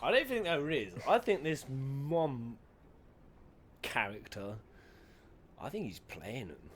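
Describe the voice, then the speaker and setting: A man giving casual, excitable live commentary on game cutscenes, thinking aloud in questions. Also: medium pitch, takes it slowly, slight background noise. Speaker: host reacting to video game cutscenes.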